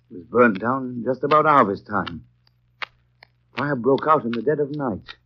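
An actor playing a garrulous rustic English local, telling grim news in a halting, folksy way in 1930s radio drama style. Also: male voice, mid-range voice, slight background noise, close to an everyday voice. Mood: folksy, curious. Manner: folksy, halting storytelling with repeated phrases. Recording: dull, lo-fi 1930s radio broadcast.

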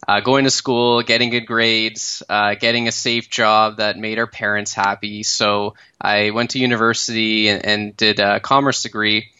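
A man in his thirties with a Canadian accent, a startup founder telling his story in a relaxed podcast interview, with many fillers and restarts. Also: lowish voice, clean tone, dry recording, dull audio.

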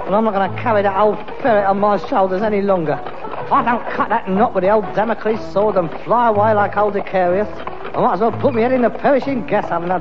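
A man's voice: high-pitched, fairly even intonation, fast talker, close to an everyday voice. An actor playing a frustrated grown son, working the rag-and-bone trade and ranting at his father in a 1960s radio sitcom. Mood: brooding. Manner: indignant, theatrical ranting. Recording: dull 1960s radio broadcast with hiss and a roomy reverb.

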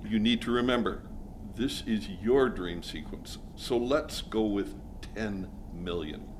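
A man's voice: fairly low pitch, slow, a little hiss or hum. A man performing a scripted comic sketch, with a jokey but earnest delivery. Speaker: sketch writer and guest performer.

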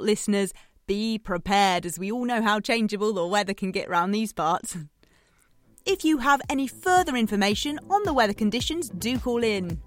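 A woman's voice: mid-range voice, natural voice. A woman playing a chatty, needy late-night radio DJ in a scripted drama, playful and theatrical, coaxing her listeners. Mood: hopeful. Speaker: radio host.

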